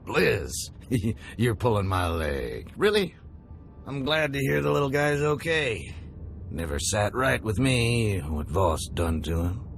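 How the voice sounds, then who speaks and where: An actor playing a good-hearted working-class salvager in a video game, folksy, drawling and earnest. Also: male voice, lowish voice, sing-song, takes it slowly, gravelly, full character voice. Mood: regretful, earnest. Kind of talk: video game character acting. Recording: music behind the voice.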